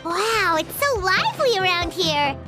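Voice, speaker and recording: A woman voicing a tiny, eager, childlike fairy companion with a squeaky voice in an anime-style video game. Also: very animated, measured pace, loud music behind the voice, full character voice. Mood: excited. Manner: eager, refers to self in third person.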